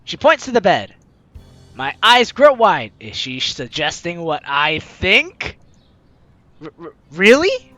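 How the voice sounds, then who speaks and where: A young woman hosting a let's-play, reading game text aloud and commenting on it. Her bright voice swings widely in pitch, with a theatrical, teasing manner, over faint music. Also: sing-song, takes it slowly, no room sound. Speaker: gaming video host.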